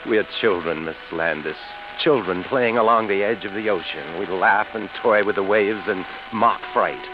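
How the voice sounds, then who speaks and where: A sleepless, morbid artist, played by a male actor in a vintage radio chiller. His voice is very low, theatrical and halting, building by repetition into pleading agitation. The old recording is dull and clearly hissy. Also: lightly acted. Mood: brooding, ominous. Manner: theatrical, halting, repeats words in mounting agitation. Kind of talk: golden-age radio horror acting.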